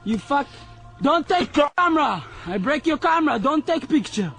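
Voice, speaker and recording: A man shouting angry curses and threats at someone filming him outdoors. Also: extremely high pitch, very animated, normal speed, gravelly, faint music behind. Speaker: settler confronting activists. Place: outdoors on farmland. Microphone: handheld camera held by another person. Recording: outdoor camcorder audio with noise.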